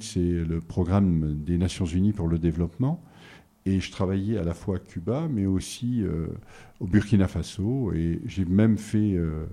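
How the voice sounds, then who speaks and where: A middle-aged man from southern France answers interview questions in a very low, dark and mellow voice. He speaks hesitantly, pausing on fillers and restarting his sentences as he recalls his past. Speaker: interview guest. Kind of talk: relaxed conversational interview.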